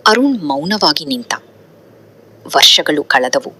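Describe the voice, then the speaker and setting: A woman with a very low voice tells a moral story in scripted narration. Her tone is bright and her pitch moves in a lively way. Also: slow pace, slightly rough.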